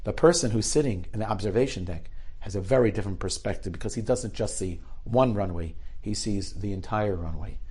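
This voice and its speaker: Animated guy telling spiritual stories, middle-aged, acts out the quotes, lots of restarts. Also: lowish voice, breathy edge. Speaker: rabbi telling inspirational stories. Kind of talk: spoken inspirational storytelling.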